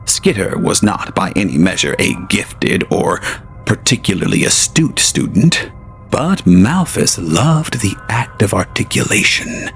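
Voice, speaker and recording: A man giving measured, dramatic scripted narration for an audio drama. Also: lowish voice, sing-song, gravelly, fairly bright tone. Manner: measured storytelling with dramatic swings. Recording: narration over faint music.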